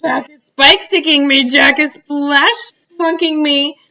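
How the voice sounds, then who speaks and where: A woman's voice: high-pitched, fairly even intonation, takes it slowly, pushed and bright, distant mic, pitched above the performer's own voice, full character voice, poor audio. A woman voicing a huge brutish male giant from a storybook, screaming and pleading in terror with clumsy grammar. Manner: screaming, bellowing, pleading, ungrammatical speech.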